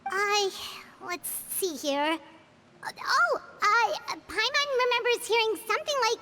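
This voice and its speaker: A woman voicing a small, childlike, chirpy fairy companion in a video game, with a squeaky voice. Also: sing-song, slow, sharp tone, slight background noise, full character voice. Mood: unsure, thoughtful.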